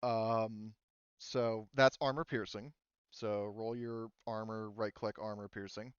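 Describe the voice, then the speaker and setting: Guy game mastering, smooth clear voice, dry sound, explains the rules step by step. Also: fairly low pitch, little pitch movement, slow, no room sound, lo-fi sound. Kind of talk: casual tabletop game refereeing.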